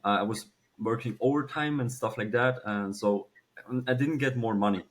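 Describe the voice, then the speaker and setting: A man, telling a personal story casually and haltingly, with some swearing. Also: on the low side. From Switzerland. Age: early 20s. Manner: halting, informal, swears freely. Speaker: podcast guest, young entrepreneur.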